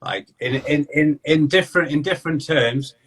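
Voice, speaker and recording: A man hosting a casual podcast interview, chatty and fast in bursts, with lots of restarts and "you know". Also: on the high side, fairly bright tone, slightly rough. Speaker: podcast host and skating coach.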